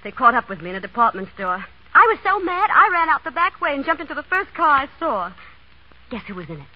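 High-voiced, spirited runaway heiress. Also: female voice, sing-song, fast talker. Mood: chatty, candid. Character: spoiled runaway young heiress, candid, spirited and headstrong. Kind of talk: 1930s radio drama acting. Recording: muffled, dull 1930s radio broadcast.

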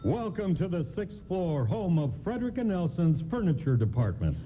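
Kindly, lively store doorman. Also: fairly high pitch, normal speed, over music. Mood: eager, helpful. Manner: courteous, reassuring. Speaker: radio play actor. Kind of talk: radio play acting. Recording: lo-fi, tinny, noisy radio broadcast.

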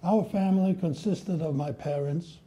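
Older male lecturer, deep-toned and dark, slightly raised pitch, unhurried solemn delivery. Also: a little hiss or hum. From Poland. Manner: measured, solemn, reads quotations slowly. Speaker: guest lecturer. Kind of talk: prepared history lecture. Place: library lecture room with audience. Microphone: at a lectern, filmed by a far camera.

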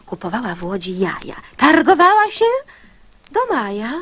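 Female storyteller for children's verse: lively, slightly high, husky, deliberate. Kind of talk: expressive recital of children's verse.

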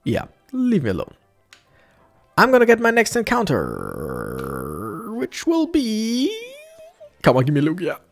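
A young man chats through his playthrough, animated and self-correcting. His voice sits a little high and swings widely in pitch. Faint game music plays behind him. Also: slow, vocal fry, pauses often. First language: German. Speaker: let's play streamer. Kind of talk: casual gameplay commentary.

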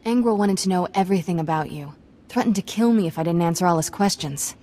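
Woman playing a low-voiced, cheeky young apprentice. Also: fast talker, dark tone, a little hiss or hum, lightly acted. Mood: wry. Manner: wry, irreverent. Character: plucky, cheeky young female Jedi apprentice. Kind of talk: video game cutscene acting.

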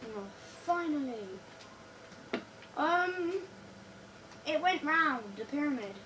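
A high-pitched girl with a bit of a croaky voice, chatting casually as she plays a building game with a friend. She rushes, repeating words over and over as time runs out. She is picked up from across a room by a phone, with a steady hiss of noise. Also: far from the mic. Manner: hurried, repeats words under time pressure. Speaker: co-host playing a building game. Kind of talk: casual gameplay chat. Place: living room, in front of a TV. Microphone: phone camera filming the TV screen.

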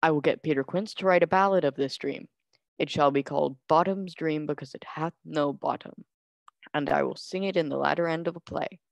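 A man's voice: a little high, a little fast, creaky, dry recording. A man playing a bewildered, self-important workman waking from a wondrous dream in a Shakespeare monologue. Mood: wondering.

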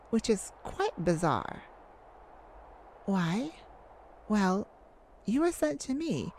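Woman acting as celestial caseworker, unhurried, medium pitch, polite, apologetic, flustered, ASMR roleplay, noisy ambience behind. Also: darkish tone. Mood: gentle, official. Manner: courteous, apologetic, hesitant. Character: polite, flustered, thousands-year-old celestial afterlife caseworker.

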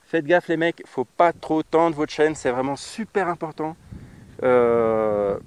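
A man's voice: fairly high pitch, slow pace, a little mellow, smooth. A man in his thirties from France, a motorcycle travel vlogger, talking casually to the camera outdoors on a grassy roadside through a lapel mic, blunt and slangy with plenty of fillers. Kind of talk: casual how-to talk to camera. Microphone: lapel mic on riding jacket.

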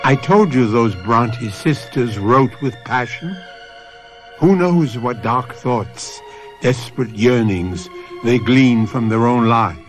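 A man hosting and narrating a radio drama as a measured, theatrical storyteller. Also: mid-range voice, soft background music, lightly acted. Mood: solemn. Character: theatrical storyteller host of a mystery anthology. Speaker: host and narrator.

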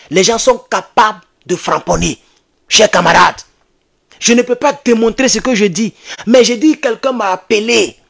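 Man, Ivorian accent, high voice, animated and insistent, livestream activist addressing followers, repeats himself for emphasis. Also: creaky. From Ivory Coast. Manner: emphatic, repetitive, urgent, animated. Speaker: online political activist host. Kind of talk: impassioned livestream commentary to followers. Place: small indoor home studio. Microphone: desk microphone close in front.

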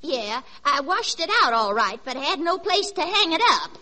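A woman's voice: high-pitched, big pitch swings, normal speed. An actress playing a naive, discontented country scrubwoman in a 1940s radio comedy, with rustic, ungrammatical, complaining speech on a noisy old recording. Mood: resigned.

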